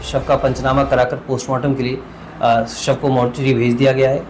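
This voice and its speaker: A man speaks in a flat, monotone voice, delivering a formal police statement with frequent pauses. Faint noise hangs behind him. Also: medium pitch, rough recording. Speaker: police officer giving a statement. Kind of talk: official statement.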